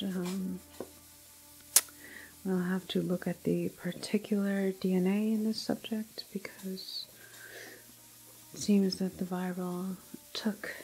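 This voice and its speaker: Soft, slow, half-whispering clinical woman acting a female doctor. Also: mid-range voice, poor audio. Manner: soft, often half-whispered, halting, detached clinical delivery. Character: clinical female doctor logging a doomed quarantined patient. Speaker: ASMR roleplayer. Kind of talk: ASMR roleplay, dictated medical log.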